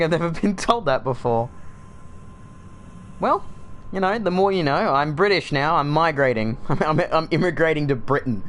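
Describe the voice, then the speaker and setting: A young man with a relaxed Australian accent talks while he plays a game on stream, rambling through opinions with plenty of "like" and "um". His voice sits at an ordinary middle pitch, and his tone turns dry and sardonic. A faint hiss runs beneath his home desk mic. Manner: rambling, sardonic, frequent fillers. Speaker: gaming streamer. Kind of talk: casual chat while livestreaming a game.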